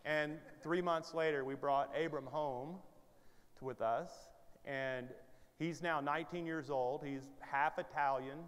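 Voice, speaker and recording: A pastor in his fifties preaches with a headset mic in a big sanctuary, his fairly high voice trailing a long reverb. He talks like a friend telling stories, then presses hard with urgent exhortation while pacing the stage. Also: steady tone, a little slow, fairly bright tone, smooth. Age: 50s. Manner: conversational, rising to urgent exhortation; paces the stage gesturing. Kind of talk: sermon. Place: large church stage with hall reverb. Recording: hall reverb from large sanctuary.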